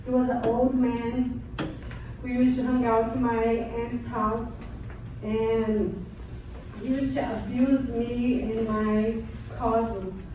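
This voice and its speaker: A woman from Brazil with a Brazilian Portuguese accent gives a personal testimony to an audience, haltingly and in non-native English. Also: a little high, level tone. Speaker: survivor giving testimony. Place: large echoey room, before an audience. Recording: very echoey room, distant mic, faint noise.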